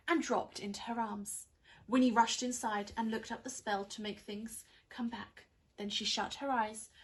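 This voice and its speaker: A woman reading a children's story aloud like a primary school teacher, with expressive, dramatised narration. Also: a little high.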